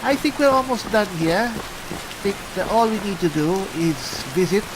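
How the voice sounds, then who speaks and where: A man playing a chatty, pompous adventuring cleric in an improvised fantasy game roleplay, halting and musing theatrically with dry humour. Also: high-pitched, animated, background noise. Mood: solemn, musing. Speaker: gamer playing a character.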